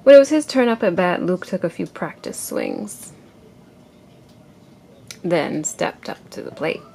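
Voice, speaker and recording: A woman reading a children's picture book aloud to a child in an expressive storytelling way. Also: fairly low pitch, measured pace, creaky. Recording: noisy amateur home recording.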